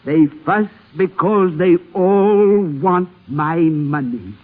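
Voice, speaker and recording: An actress playing a very old, shrewd, commanding grandmother in a radio drama, speaking deliberately with needling questions. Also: on the low side. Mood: probing, shrewd. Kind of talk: 1950s radio drama acting. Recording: vintage dull radio recording.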